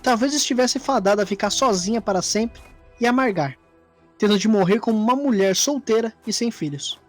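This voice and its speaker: A woman's voice: on the high side, animated, brisk. Woman narrating a dramatic story over music. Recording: music under the voice. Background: background music.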